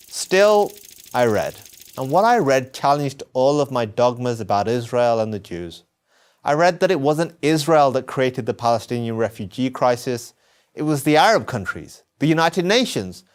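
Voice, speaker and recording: A British man delivering a scripted personal testimony as narration, earnest and emphatic, with deliberate phrasing. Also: medium pitch, animated, clean tone. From England.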